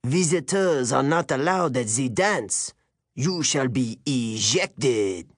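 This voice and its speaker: A man's voice: medium pitch, lively intonation, takes it slowly, dry recording, studio quality. Man acting a haughty French-accented schoolmaster. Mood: snapping, commanding. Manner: snappish, imperious, formal. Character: stiff, haughty, hostile male military-school teacher.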